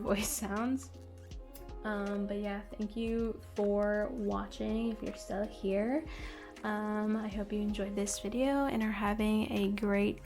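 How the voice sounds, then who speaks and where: A young woman vlogging casually to her viewers, slightly hoarse and scratchy, rambling with lots of ums and you-knows and little self-deprecating giggles. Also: mid-range voice, darkish tone. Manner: rambling, many fillers like um and you know. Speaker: book and anime vlogger. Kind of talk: casual chat to camera.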